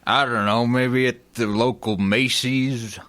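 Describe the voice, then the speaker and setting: A man's voice: mid-range voice, fairly even intonation, slow, fairly bright tone. A man playing a swaggering, disdainful old-west handyman with a theatrical drawl in a comedy radio play.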